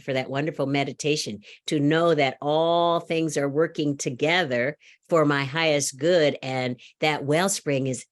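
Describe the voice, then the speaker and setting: A middle-aged woman giving a sermon, loud and animated, laughing often and telling a story. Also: sits low. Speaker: speaker at a church service.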